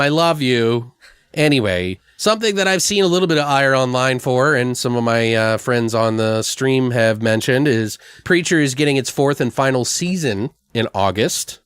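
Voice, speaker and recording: A man's voice: medium pitch, a bit bright, clear, resonant tone, dry recording, clean studio sound. Casual, sweary male podcast host. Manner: informal, sweary, slangy. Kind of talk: casual chat about horror film news.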